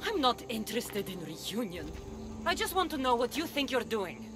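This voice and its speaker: A woman's voice: fairly high pitch, big pitch swings, normal speed. A woman playing a fierce young demigod cult warrior in a video game, contemptuous and threatening as she talks. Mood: cold scorn. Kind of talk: video game dramatic acting.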